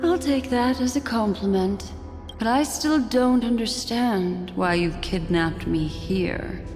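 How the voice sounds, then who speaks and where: Self-assured, teasing game heroine, acted by a woman; unhurried and poised, long reverb, music behind. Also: medium pitch, close to an everyday voice. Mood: puzzled, poised. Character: elegant, playful, self-assured captive woman, wryly probing. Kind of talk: scripted video game acting.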